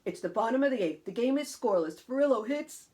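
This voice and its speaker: An old Brooklyn uncle spinning tales with loud, lively emphasis, as a woman reads him from a storybook. He is animated and commanding. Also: on the high side, fast talker, pitched above the performer's own voice, close to an everyday voice. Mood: storytelling.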